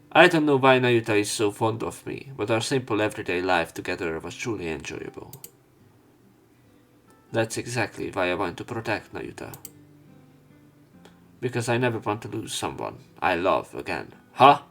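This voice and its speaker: A man's voice: on the low side, expressive, normal speed, pauses often, fairly bright tone, soft background music. A man reading a visual novel's narration and dialogue aloud for a let's play.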